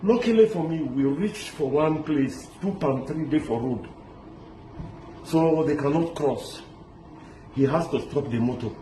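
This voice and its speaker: Halting middle-aged man. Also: a little high, dark tone, reverberant, slight background noise, poor audio. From Lebanon. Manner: halting, with restarts and repeated words. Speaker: interviewee recounting an ordeal. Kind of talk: emotional first-person account.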